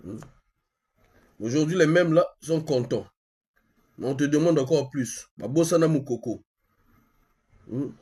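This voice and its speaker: Husky, higher-pitched Congolese man. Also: measured pace, pauses often, darkish tone. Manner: insistent, repeats phrases, rhetorical questions. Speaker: online activist host. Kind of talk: live-stream monologue in colloquial speech, with a phone call.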